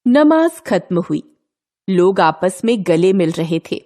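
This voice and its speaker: A woman's voice: lowish voice, sing-song, slow pace, background noise. A woman narrator reading a scripted children's story.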